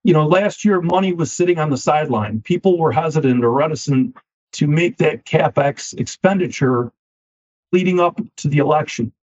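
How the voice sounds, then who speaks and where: A man, a finance company CEO, answering interview questions with a slightly scratchy voice, measured and often repeating words as he starts a thought. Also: on the high side, lively intonation, darkish tone. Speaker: equipment finance CEO, guest. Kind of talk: business podcast interview. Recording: dull lo-fi sound.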